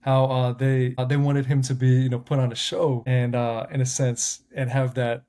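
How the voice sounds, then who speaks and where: A man's voice: mid-range voice, flat delivery, normal speed, warm tone. A man hosting a podcast interview, reacting with enthusiasm and asking questions between hesitant fillers. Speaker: podcast host.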